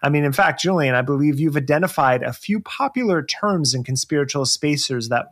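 Higher-pitched man co-hosting a podcast. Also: expressive, a little fast. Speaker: podcast co-host. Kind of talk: podcast discussion, part scripted.

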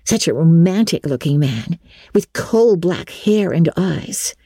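A romantic, chatty teenage girl, played by a woman narrator, high in pitch and eager to share. Her manner is gushing, her tone soft, in a dry storybook recording. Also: animated, slow, husky, no echo, full character voice. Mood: eager, admiring.

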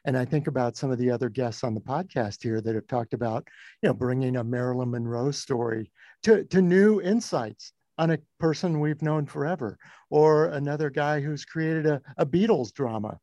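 A man's voice: medium pitch, moderate pace, warm tone, no echo, highs cut off. A man hosting an interview podcast, putting questions in a conversational, reflective way.

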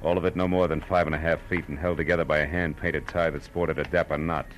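Old time radio detective, real low voice, dry and wry, noisy old recording. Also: male voice, level tone, fast, lo-fi sound. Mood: wry narrating. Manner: terse, dry, sardonic. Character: hard-boiled, wry male private detective. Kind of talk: 1940s radio acting, first-person narration.

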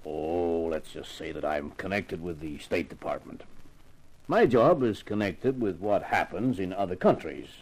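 An actor playing a genial, gray-haired government spymaster in a 1950s radio drama. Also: male voice, deep voice, a little slow, a little mellow, breathy edge, exaggerated voice, rough recording. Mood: confiding.

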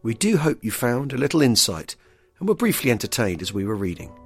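A man's voice: mid-range voice, big pitch swings, fairly quick, husky, soft background music. A man presenting a podcast in scripted narration, introducing readings and giving credits.

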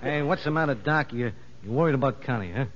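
An actor playing a skeptical, sarcastic tough convict in a 1950s radio drama, with a streetwise manner. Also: male voice, medium pitch, expressive, fast talker, heavy background noise, natural voice. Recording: muffled old radio broadcast with audience.

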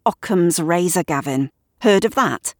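A woman's voice: lowish voice, expressive, measured pace, dry recording, clean studio sound. A woman voicing a curt, scolding neighbour.

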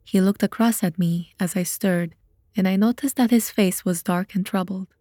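A woman's voice: medium pitch, moderate pace, dark tone, dry recording, studio quality. A woman reading scripted narration for an audio drama as its narrator. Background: music.

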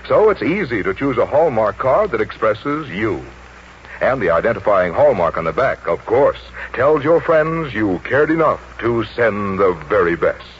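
A man's voice: on the low side, big pitch swings, normal speed. An American man working as a radio announcer, reading a script in a polished, sincere 1940s style. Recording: dull, noisy 1940s radio recording.

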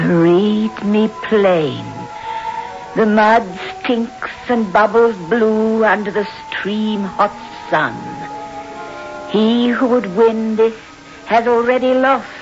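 An actress playing an entranced fortune teller, chanting cryptic verse slowly in old radio horror style. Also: female voice, mid-range voice. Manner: slow, drawn-out incantation. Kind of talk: 1960s radio horror acting. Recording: muffled old radio, loud music.

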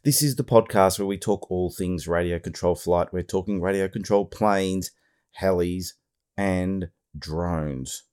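A hoarse Australian man with a very low voice talks through his podcast in a loose, rambling way, full of fillers and restarts. He sounds middle-aged and a little worn, as if he is losing his voice. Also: measured pace, a little mellow. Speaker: podcast host. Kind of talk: casual podcast monologue.

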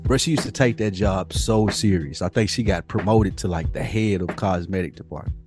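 Brief: male podcast co-host, casual, profane, animated swings, music bed. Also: sits low, a little fast. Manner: animated, swears a lot, sing-song when worked up. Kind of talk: casual chat.

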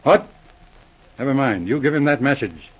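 A brisk, commanding police inspector. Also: male voice, mid-range voice, expressive. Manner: crisp, commanding and matter-of-fact. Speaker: lead investigator. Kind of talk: 1940s radio acting. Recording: dull lo-fi 1940s radio recording.

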